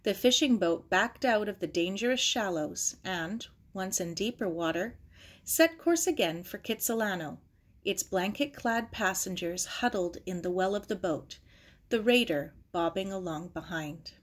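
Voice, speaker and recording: A woman's voice: mid-range voice, expressive, a little slow. Woman reading a children's story aloud. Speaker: audiobook reader. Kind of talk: scripted story narration, children's novel.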